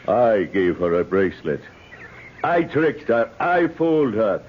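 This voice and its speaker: Grim, slow-spoken vengeful father. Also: on the low side, very animated. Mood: defiant. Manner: solemn, terse, deliberate. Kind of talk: old-time radio drama acting. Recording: dull, hissy old radio recording.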